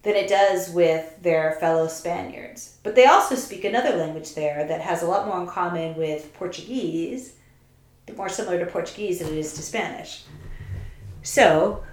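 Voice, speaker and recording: Woman host doing the episode intro, slow and upbeat, lowish voice, echoey. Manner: upbeat, emphatic, slow delivery. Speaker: podcast host. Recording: echoey room.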